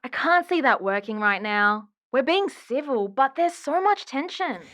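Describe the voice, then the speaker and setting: High-pitched, dramatic, exasperated woman caller. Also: expressive, unhurried, fairly bright tone. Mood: hesitant, conceding. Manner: dramatic, chatty, aggrieved. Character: exasperated, dramatic woman caller griping about her ghost ex. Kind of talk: audio drama phone-in acting.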